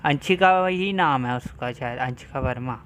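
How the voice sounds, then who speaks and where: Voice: mid-range voice, very fast, a bit bright, a little hiss or hum. A game streamer of unclear sex with an Indian accent, chatting casually on a live stream. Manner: mixes Hindi and English. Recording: faint game music behind.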